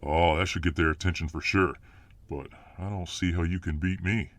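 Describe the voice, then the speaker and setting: A man's voice: low voice, steady tone, moderate pace, close to an everyday voice. A man playing a huge, confident but modest alpha fireman hero in a casual, self-assured ASMR roleplay. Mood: cocky, relaxed. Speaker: voice actor in audio roleplay.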